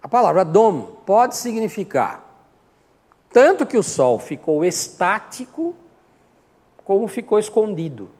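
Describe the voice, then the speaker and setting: An older man with a Brazilian accent speaks as a Sunday school teacher. His voice sits a little high for a man. He explains in an informal, didactic way, asking questions and answering them, and long reverb from the hall surrounds his words. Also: big pitch swings, measured pace, long pauses, a little mellow. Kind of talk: informal Bible class lecture.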